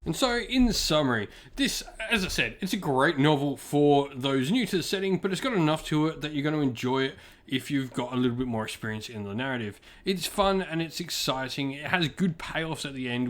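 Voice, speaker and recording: A man's voice: medium pitch, animated, normal speed. A man giving an informal spoken review as a channel host, enthusiastic, stressing words and often circling back to repeat himself.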